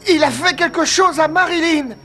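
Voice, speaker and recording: A man's voice: extremely high pitch, normal speed. A man voicing a small, earnest, lovestruck insect hero in a cartoon, speaking in eager exclamations. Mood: alarmed. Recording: loud music behind.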